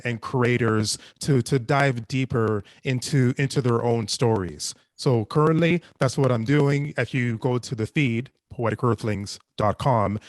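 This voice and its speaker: Halting man, dry close sound. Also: mid-range voice, no room sound. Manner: halting, repeating words. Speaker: panelist, podcast producer. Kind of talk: panel discussion, casual promotion of own work.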